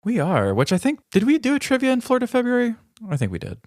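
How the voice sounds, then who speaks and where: Expressive man podcast co-host. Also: very high-pitched, quick pace, no echo, clean studio sound. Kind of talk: casual comedic banter.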